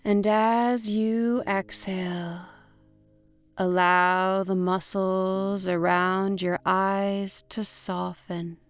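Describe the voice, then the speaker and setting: A woman leads a guided relaxation at an extremely unhurried pace. Her delivery is gentle and deliberate, leaving long pauses between short phrases. Soft instrumental music plays behind her voice. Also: medium pitch, level tone, clear, resonant tone. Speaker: meditation guide. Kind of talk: guided imagery meditation narration.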